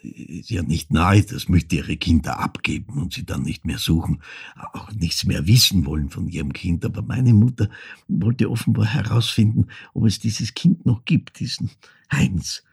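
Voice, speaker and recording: An actor playing an older man who haltingly recalls a troubled childhood in an intimate radio-play monologue, with a husky, gravelly voice. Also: low voice, a little mellow, dry recording, studio quality, exaggerated voice. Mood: reflective, haunted. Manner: halting, reflective, repeats words, drops to near whisper.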